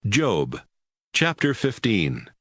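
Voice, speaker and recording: A man's voice: fairly low pitch, wildly swooping pitch, extremely slow, a bit bright, dry recording, clean studio sound. A man narrating scripture in a scripted, announcing style, introducing chapters and speakers.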